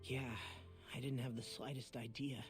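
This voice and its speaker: A man's voice: mid-range voice, little pitch movement, normal speed, lightly acted. An actor playing an earnest, self-doubting young male fighter in a video game, speaking in a casual, sincere way. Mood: troubled, candid. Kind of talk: video game English voice acting. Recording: music behind the voice. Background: game music.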